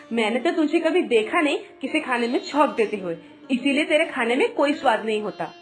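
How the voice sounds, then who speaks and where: A high, scolding voice for the bossy elder sister-in-law of an animated household tale, played by a woman. She is mocking and theatrical in her delivery. Music runs behind her. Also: a little fast. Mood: scolding, taunting. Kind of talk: Hindi animated moral story acting.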